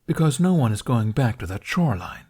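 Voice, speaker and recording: A man's voice: medium pitch, sing-song, a little fast, dark tone, breathy edge. A man playing a grumpy, obstinate dragon in an audiobook, curt and scornful in manner. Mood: stubborn. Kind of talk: audiobook character voice.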